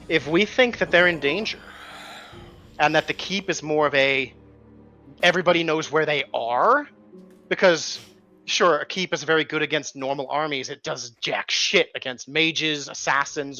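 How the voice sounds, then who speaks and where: A man's voice: fairly high pitch, moderate pace, sharp tone, highs cut off, faint music behind. A man talking at length as a tabletop game player, casually arguing out strategy with some mild swearing. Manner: talkative, argues points at length, mild swearing.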